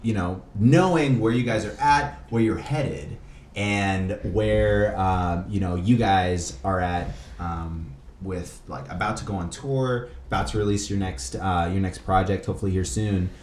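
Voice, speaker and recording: A man hosting a podcast, chatting casually in a halting, slangy way. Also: sits low, a little mellow. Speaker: podcast host. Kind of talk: casual chat. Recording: roomy, echoey room with noise.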